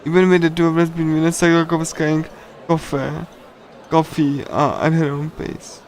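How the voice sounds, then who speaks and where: A man reading a visual novel aloud on a casual livestream, in a halting, pieced-together way. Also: a little high, level tone. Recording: music behind the voice. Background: game music.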